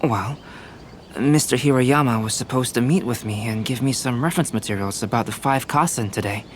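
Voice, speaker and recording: A man voicing a calm, polite, scholarly young artist in a video game, measured, composed and precise. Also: medium pitch, slight background noise, lightly acted. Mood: composed, explanatory. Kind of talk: video game character acting. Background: background music.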